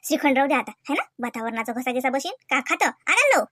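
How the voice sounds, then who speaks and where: A woman's voice: high-pitched, very animated, fairly quick, a bit bright, exaggerated voice. A woman playing a doting, chatty village mother in a Marathi comedy cartoon, with a rural Vidarbha accent, cooing in baby talk and teasing. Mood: caring, homely.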